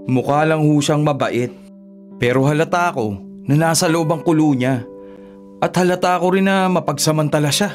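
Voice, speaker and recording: Man acting distrustful grown son, radio drama, higher-pitched, grumbling, emphatic, faint music behind. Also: moderate pace, lightly acted. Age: 30s. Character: distrustful, indignant thirty-year-old son, a bank guard.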